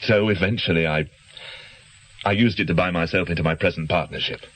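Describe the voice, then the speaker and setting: An actor playing an anxious, guilt-ridden doctor in a radio drama, speaking in hesitant, defensive, educated phrases. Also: male voice, low-pitched, a little fast, fairly bright tone, low-quality recording. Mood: uneasy, confessional. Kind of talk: naturalistic radio drama acting.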